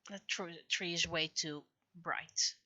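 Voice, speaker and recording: A woman's voice: lowish voice, steady tone, slow, bright, forceful tone. A woman livestreamer chatting casually while she draws, often muttering to herself as she works. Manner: mutters to self while working. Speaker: livestreamer and webcomic artist.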